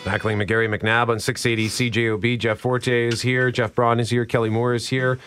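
A man's voice: medium pitch, flat delivery, normal speed, over music. A man with a Canadian accent talking casually as a co-host on a radio morning show.

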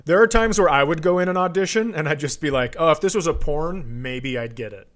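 A man hosting a casual comedy podcast, chatting in a teasing, deadpan way. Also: a little high, a little fast.

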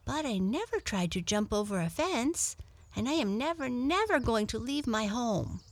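A woman's voice: a little high, big pitch swings, moderate pace, no echo, pitched above the performer's own voice. A woman voicing a friendly, innocent young rabbit in a children's storybook, chirpy, eager and talkative. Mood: earnest.

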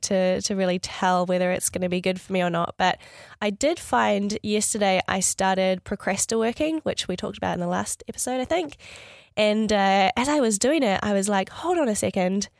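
A woman's voice: mid-range voice, no room sound, studio quality. A woman co-hosting a casual podcast, a little nasal from a cold, chatty and upbeat, thinking aloud as she talks. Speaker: podcast co-host.

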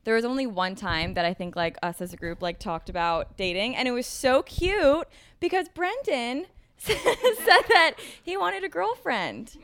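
A woman's voice: a little high, very animated, measured pace, fairly bright tone, dry recording. A young woman hosting a casual podcast, chatty and full of fillers. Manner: bubbly, filler-heavy chatter. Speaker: podcast host. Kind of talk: casual podcast chat and read ads.

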